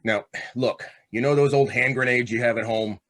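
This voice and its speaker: An actor playing a vengeful, manipulative convict on death row in an old-time radio drama, talking in tough street slang. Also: male voice, medium pitch, steady tone, normal speed, a bit bright, lightly acted. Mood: instructing, menacing. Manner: tough, slangy, clipped commands. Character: hard, scheming condemned gangster, vengeful manipulative older brother.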